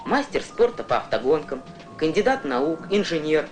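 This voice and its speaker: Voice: mid-range voice, big pitch swings, normal speed. A narrator reading a scripted educational documentary in a storytelling way for young viewers. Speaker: newsreel narrator. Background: prominent music.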